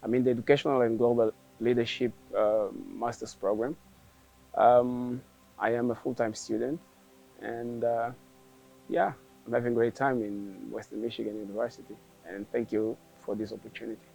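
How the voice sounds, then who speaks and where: Gravelly-voiced man from Angola. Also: mid-range voice, flat delivery, normal speed, a little mellow, soft background music, low-quality recording. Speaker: graduate student. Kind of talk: interview.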